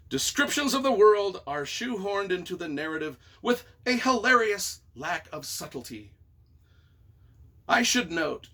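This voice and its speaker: A man's voice: high voice, bright, forceful tone. A man reading reviews aloud and reacting off the cuff as their author, with a theatrical, emphatic, mock-exasperated delivery.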